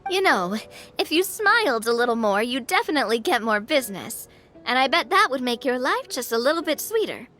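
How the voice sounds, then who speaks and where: A woman's voice: high voice, sing-song, brisk, pushed and bright, faint music behind, exaggerated voice. An actress playing an earnest, cheeky young female adventurer in a video game. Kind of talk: video game character acting.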